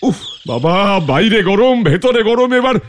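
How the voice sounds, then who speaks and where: A man's voice: very high-pitched, sing-song, normal speed, slight background noise. A man voicing an exasperated, grumbling henpecked husband in a comic cartoon.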